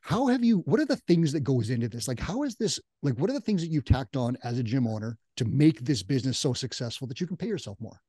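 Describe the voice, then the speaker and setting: A man's voice: medium pitch, sing-song, fast talker, hardly any pauses, warm tone, breathy edge, no echo, studio quality. A middle-aged man hosting a conversational business interview. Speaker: podcast host and interviewer. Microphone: boom-arm studio microphone with headset.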